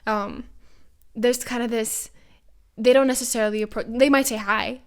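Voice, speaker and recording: A woman's voice: on the high side, fairly even intonation, normal speed. A woman from Norway, a native Norwegian speaker, chatting casually as a podcast co-host and acting out little exchanges as she tells anecdotes. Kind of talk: casual conversational podcast chat.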